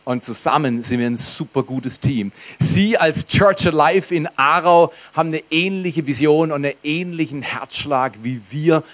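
A man's voice: fairly high pitch, normal speed, a bit bright. A man, the host pastor, introducing a guest to his church in an emphatic announcing manner. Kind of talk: church welcome speech.

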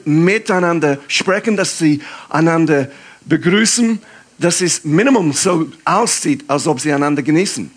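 A man from England, a guest pastor, preaching in German with an English accent, lively and halting with fillers. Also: on the high side, breathy edge. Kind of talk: sermon.

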